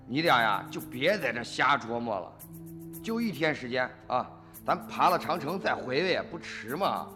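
Man playing middle-aged farmer son, brash, dismissive, animated intonation, music behind, radio drama. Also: mid-range voice, normal speed, close to an everyday voice. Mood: mocking, irritated. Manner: blustering, scoffing, colloquial.

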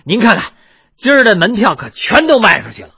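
Brief: fussing, fawning comic boss, Beijing accent, animated husky voice. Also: male voice, high-pitched, fairly quick, no echo, heavily acted. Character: harried male crosstalk comic and teahouse boss. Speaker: comedian. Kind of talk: radio crosstalk drama.

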